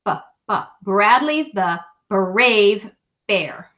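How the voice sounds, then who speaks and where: A mature woman reading a children's story aloud, deliberately stressing key words and adding small asides for young listeners. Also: medium pitch, lively intonation, fairly bright tone.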